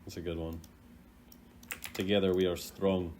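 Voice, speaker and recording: A man giving casual, chatty commentary on game voice lines in an animated, playful way. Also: deep voice, a little slow, slight background noise. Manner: animated, acts out imagined quotes in exaggerated voices. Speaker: gaming content creator.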